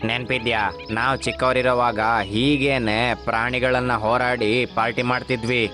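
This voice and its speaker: A man's voice: mid-range voice, little pitch movement, a little slow, music in the background, lightly acted. A man voicing a sly, envious fox who bullies and mocks others in a cartoon story. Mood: chummy. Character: sly, envious bullying fox, swaggering gangster type.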